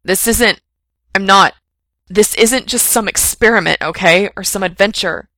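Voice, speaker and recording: Woman doing a nervy young guy, blurts stuff loud, low gravelly voice. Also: fairly even intonation, normal speed, dry recording, poor audio. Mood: agitated. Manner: loud, strained outbursts. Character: anxious young man, tense and defensive. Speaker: reader voicing a character.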